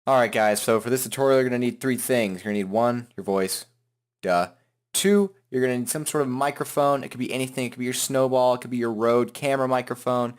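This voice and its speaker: A man hosting a software tutorial in an informal, joking, self-deprecating way. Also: medium pitch, fast talker. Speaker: tutorial host. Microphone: headset microphone.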